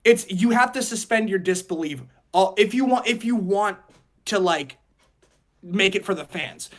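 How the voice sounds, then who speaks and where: A man co-hosting a casual podcast discussion, talking in an animated storytelling way and acting out imagined reactions. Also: high voice, a little slow. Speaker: podcast co-host.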